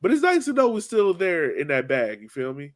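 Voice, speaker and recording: A man's voice: high voice, wildly swooping pitch, a little fast. A young man in casual sports chat as a podcast host, slangy and joking in his delivery.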